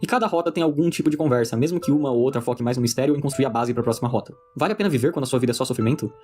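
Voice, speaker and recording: A man's voice: mid-range voice, racing, dark tone. A Brazilian man reading scripted video essay narration. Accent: Brazilian Portuguese. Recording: music bed under narration.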